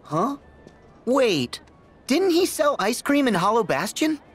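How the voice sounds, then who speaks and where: An actor playing a plucky, earnest American teenage boy hero in an animated video game. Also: extremely high pitch, slow pace, husky, faint music behind, exaggerated voice. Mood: curious, friendly.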